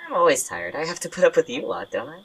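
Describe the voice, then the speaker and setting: A woman playing a tired, guarded young friend with wry, deadpan humour in an audio drama. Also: sits low, racing, slightly rough, full character voice, poor audio. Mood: weary. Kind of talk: naturalistic audio drama acting.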